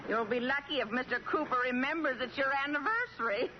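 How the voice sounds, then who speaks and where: High-voiced wisecracking maid played by an actress. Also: female voice, quick pace, close to an everyday voice. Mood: teasing. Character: wry, teasing household maid. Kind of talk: 1940s radio sitcom acting. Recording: dull, noisy vintage radio recording.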